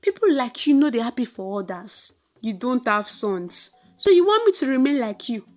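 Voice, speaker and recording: Woman playing proud, hot-tempered Nigerian mother, high-pitched. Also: lively intonation, normal speed, a little mellow, faint music behind, close to an everyday voice. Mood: furious. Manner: boastful, scolding, emphatic.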